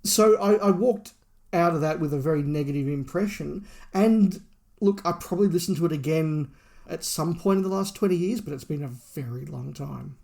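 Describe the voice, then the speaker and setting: Gravelly, higher-pitched man. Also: moderate pace, a little mellow. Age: middle-aged. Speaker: podcast co-host. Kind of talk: casual review chat.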